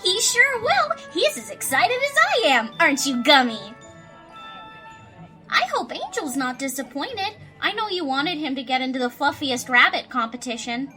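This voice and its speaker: A woman voicing a perky, excitable young party pony in a cartoon-style audio drama, chirpy and upbeat. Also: sits high, normal speed, soft background music, exaggerated voice.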